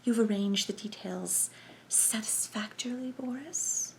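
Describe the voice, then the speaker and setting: A woman voicing a sinister male conspiracy chief who speaks in a soft, sibilant whisper with a cold, menacing manner. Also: sits high, a little slow, creaky, slight background noise, voice pushed up, low-quality recording.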